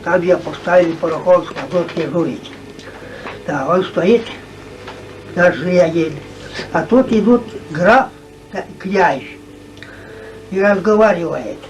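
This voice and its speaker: A male folk storyteller with a high voice tells a tale in short halting phrases. It is an old video recording, picked up from a distance with faint noise. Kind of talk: oral folk tale telling. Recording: distant, noisy 1990s archive video.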